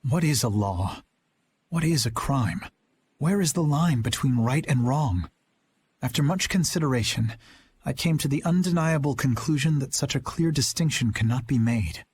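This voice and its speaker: An actor playing an earnest, principled young knight captain in a video game, speaking in a measured, thoughtful way. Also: male voice, medium pitch, expressive, darkish tone, slight background noise, lightly acted. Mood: solemn. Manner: measured and reflective, rising to a rousing rally. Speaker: knight commander.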